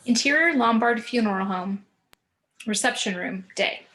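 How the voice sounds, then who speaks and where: A woman's voice: on the high side, moderate pace, a bit bright. A woman narrating scene directions for a screenplay table read, occasionally stumbling over words.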